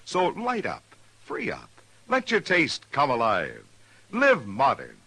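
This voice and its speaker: A male announcer delivers a vintage fifties radio commercial with punch. His forceful, slightly husky voice swings dramatically in pitch. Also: huge pitch swings, slow. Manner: punchy, emphatic selling. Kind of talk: 1950s radio ad read.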